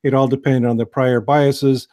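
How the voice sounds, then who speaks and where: An American man giving a relaxed, digressive science lecture on a video call, with many fillers and restarts. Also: medium pitch, normal speed. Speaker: host, biology lecturer.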